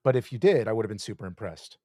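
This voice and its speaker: A man's voice: lowish voice, expressive, fast talker, warm tone, dry recording, studio quality. A man co-hosting a casual podcast, running a quiz and giving hesitant clues full of ums and uhs. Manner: playful, gives hesitant clues full of fillers. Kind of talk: casual chat.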